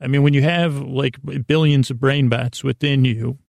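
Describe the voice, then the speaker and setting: A man hosting a bedtime podcast in a creaky, lulling voice, meandering haltingly and interrupting himself through a silly monologue. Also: medium pitch, fairly even intonation, darkish tone, no room sound. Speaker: host of a bedtime sleep podcast. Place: small closet, close and dry.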